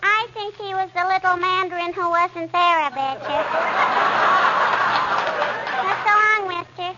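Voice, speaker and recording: Squeaky, very high little girl. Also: moderate pace. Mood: cheeky, playful. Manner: pestering, says "hmm?" and "I betcha". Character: cheeky, chatty little girl from across the street. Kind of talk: 1940s radio comedy acting. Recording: muffled old radio recording, hiss, studio audience.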